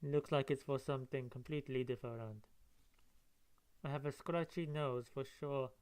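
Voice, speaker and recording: Male reader-reviewer, smooth clear voice, casual and rambling, thinking out loud as he goes. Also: medium pitch, steady tone, moderate pace. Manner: musing, rambling asides. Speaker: comic book reader and reviewer. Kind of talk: casual read-along with running commentary.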